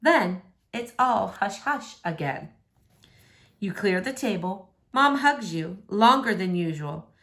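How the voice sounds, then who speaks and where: A woman narrates a novel aloud in an expressive read-aloud style. Her medium-pitched voice moves up and down a great deal as she tells the story. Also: takes it slowly. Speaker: reader of a novel in verse.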